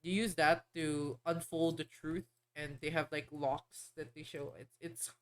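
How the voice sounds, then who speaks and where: A woman's voice: low-pitched, flat delivery, measured pace, slight background noise. A woman streamer chatting casually while playing a game, rambling and hesitant as she explains things. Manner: rambling, hesitant, many fillers and restarts.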